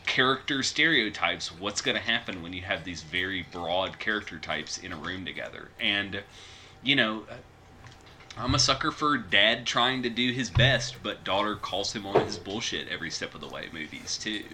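Bright-voiced podcast host man. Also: fairly low pitch, animated, moderate pace, a little hiss or hum. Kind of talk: casual film podcast chat.

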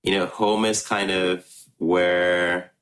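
A man in his thirties from Ghana, first language Russian, answering interview questions reflectively with many pauses and restarts. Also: low-pitched, level tone, fairly bright tone. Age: 30s. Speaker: filmmaker.

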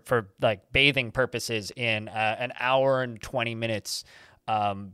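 A man's voice: mid-range voice, a bit bright, no room sound, clean studio sound. A man hosting a casual podcast who tells long, rambling stories full of fillers. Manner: rambling, laid-back storyteller with many fillers. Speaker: podcast host. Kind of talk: casual chat.

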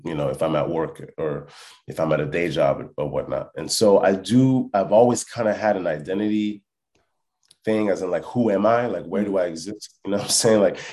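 A man being interviewed casually on a podcast as a musician, thinking aloud haltingly and often checking that the listener follows. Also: low voice, a little mellow. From born in France, lived in Canada and Texas, Congolese family. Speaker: hip hop musician, interview guest.

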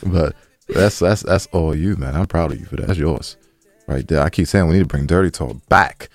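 A man's voice: very deep voice, moderate pace, dry recording. A man co-hosting a podcast in casual, slangy talk, repeating phrases for emphasis, with music behind him. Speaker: podcast co-host.

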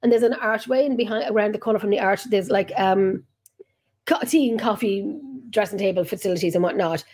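This is an Irish woman talking on a video call, her voice mellow and dark rather than bright. She rambles through stories with a chatty, self-interrupting flow, like a host settled into easy conversation. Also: medium pitch, a little fast. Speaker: podcast host and paranormal investigator. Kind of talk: casual chat over video call.

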